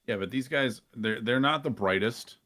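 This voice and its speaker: A man's voice: mid-range voice, moderate pace, sharp tone. A man talking casually as a guest on a podcast. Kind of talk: casual chat.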